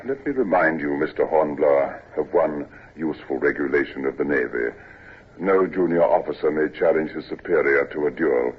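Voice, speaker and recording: A tired, ailing old sea captain speaks in a very deep, husky voice, stopping often to hem and haw. The classic radio play comes through a thin, tinny old broadcast recording. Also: male voice, normal speed. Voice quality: husky, hesitant with frequent uh's. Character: ailing, outworn old male navy captain, gruff. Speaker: ship's captain. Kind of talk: 1940s-50s radio acting.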